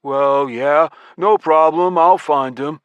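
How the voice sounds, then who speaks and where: A man's voice: on the high side, slow pace, smooth, no room sound, muffled recording, voice pushed up. A man playing a young man bluffing his way through questions, vague and offhand, in a fantasy audiobook. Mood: evasive, hesitant. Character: young man bluffing as someone else, casual, evasive.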